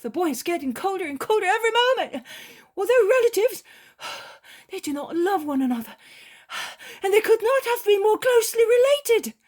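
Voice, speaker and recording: A woman voicing an excitable male fisherman who talks out of breath and with urgency. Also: very high-pitched, moderate pace, pitched above the performer's own voice. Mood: urgent. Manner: urgent, agitated.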